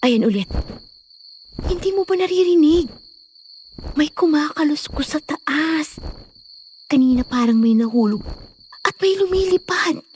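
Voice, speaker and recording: A woman's voice: sits high, animated, a little slow, pauses often, darkish tone, a little hiss or hum. A woman playing a polite, slightly anxious young city woman in an audio drama, hesitant and apologetic. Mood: uneasy. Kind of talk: dramatised audio horror story acting.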